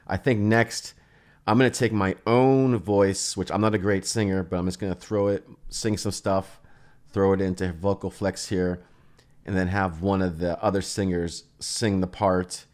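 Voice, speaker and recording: A man giving a casual, rambling software demo, thinking aloud as he goes. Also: deep voice, steady tone, normal speed. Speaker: plugin reviewer and host.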